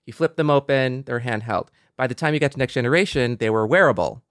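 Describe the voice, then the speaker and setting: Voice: medium pitch, lively intonation, quick pace, fairly bright tone, no echo, clean studio sound. Podcast panelist, casual discussion, earnest, emphatic repetition, very little room sound. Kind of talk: casual panel discussion about science fiction.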